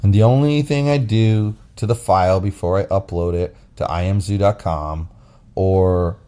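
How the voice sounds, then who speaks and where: Deep-voiced man rambling on a podcast. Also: moderate pace, dark tone. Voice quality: deep, bassy voice. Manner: rambling, loose delivery with many restarts and fillers. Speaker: podcast host. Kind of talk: casual podcast chat. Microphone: phone app recording.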